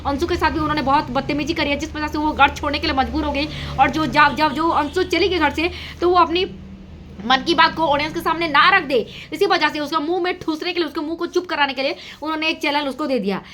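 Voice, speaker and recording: A woman's voice: sits high, brisk, a bit bright, a little hiss or hum. A woman giving casual gossip commentary to her audience, with emphatic, repetitive phrasing. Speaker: reaction video host.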